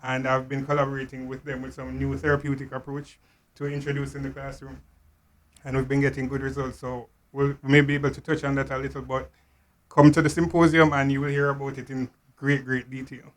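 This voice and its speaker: A man speaking deliberately and somewhat formally, unscripted, as a health professional guest in an interview. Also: medium pitch, flat delivery. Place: studio. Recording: clear background noise, room ambience.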